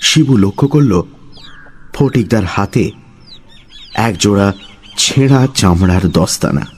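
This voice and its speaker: A man's voice: on the low side, lively intonation, takes it slowly, slight background noise. A man narrating a scripted story in a dramatic storytelling style.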